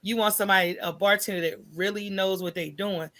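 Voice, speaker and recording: An American woman with a medium-pitched voice talks casually as an interview guest. Her phrasing is colloquial and conversational, and she often tags her points with small check-ins to the listener. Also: steady tone, brisk, a bit bright. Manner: informal, colloquial, checks in with "right?" and "you know". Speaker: podcast guest, coffee business owner.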